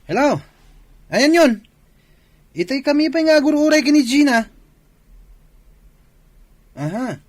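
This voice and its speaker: Male actor as young village barkada pal, Ilocano accent, easygoing, informal, high swooping voice, radio comedy drama. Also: no echo, exaggerated voice. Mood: eager. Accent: Filipino, Ilocano. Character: easygoing young Filipino village drinking buddy.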